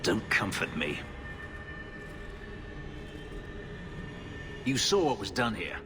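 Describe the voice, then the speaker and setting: A man's voice: fairly low pitch, sing-song, moderate pace. An actor playing a bitter elven male ex-slave warrior in a video game, grave and clipped. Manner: grave, clipped, controlled intensity. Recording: game audio with reverb and music.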